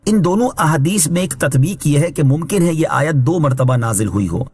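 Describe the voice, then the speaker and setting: Male religious scholar for scripted exegesis narration. Higher than average pitch, rough-edged tone, formal teaching delivery. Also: animated, a little mellow. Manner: measured, formal, instructive. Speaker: Islamic scholar reading translation and commentary.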